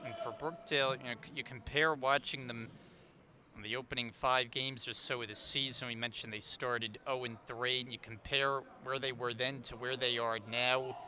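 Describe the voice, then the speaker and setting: A man's voice: mid-range voice, fairly even intonation, moderate pace, bright, forceful tone. A man calling a college basketball game live as the play-by-play commentator. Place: indoor basketball gym during game. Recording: crowd noise in gymnasium.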